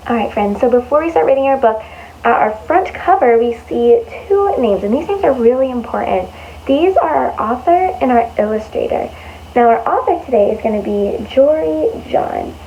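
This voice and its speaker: A woman with a high voice reads a storybook to young children as their storyteller. She stresses words in an exaggerated way and stretches some of them out. The recording is slightly dull and lo-fi, with faint noise behind her. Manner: animated, exaggerated emphasis with drawn-out words. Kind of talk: expressive read-aloud of a picture book for young children.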